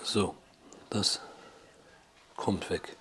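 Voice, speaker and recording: A hoarse man with a cold, explaining gardening in a plain, matter-of-fact way with slightly broken German. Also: very slow, a bit bright, a little hiss or hum. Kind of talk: casual explanation. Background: clinking dishes and cutlery.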